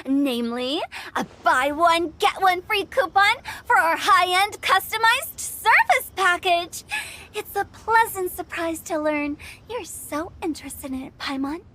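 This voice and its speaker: An actress playing a mischievous young funeral director in a video game, with playful, theatrical sales patter. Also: female voice, very high-pitched, animated, a little slow, sharp tone, heavily acted. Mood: grandly salesy. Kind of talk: English video game voice acting.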